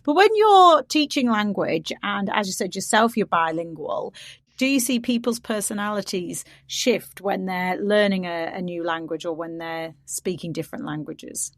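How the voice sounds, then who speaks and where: A woman's voice: mid-range voice, expressive, moderate pace, no room sound, studio quality. A woman hosting a podcast, asking long, winding, curious questions in casual conversation. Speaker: podcast host. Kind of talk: casual interview chat.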